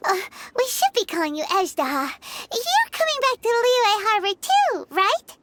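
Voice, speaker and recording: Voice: very high-pitched, very animated, a little slow, heavy background noise, heavily acted. A woman voicing a small, hesitant, friendly childlike creature in a cartoonish video game style. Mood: unsure, hopeful.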